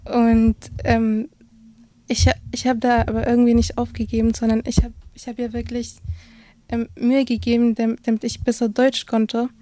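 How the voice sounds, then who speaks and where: A woman's voice: a little high, completely flat delivery, moderate pace, warm tone. A young woman whose first language is Arabic, telling her own story in a discussion with frequent hesitations. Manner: hesitant, many fillers and restarts. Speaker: guest sharing her experience. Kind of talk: personal account in a discussion.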